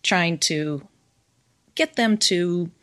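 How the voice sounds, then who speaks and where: A middle-aged woman with an American accent speaks as a university professor on a podcast. Her voice is a little low for a woman, and she explains things in a friendly, relaxed way. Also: drawn-out words. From United States. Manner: friendly, laughs often while explaining. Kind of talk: casual podcast conversation.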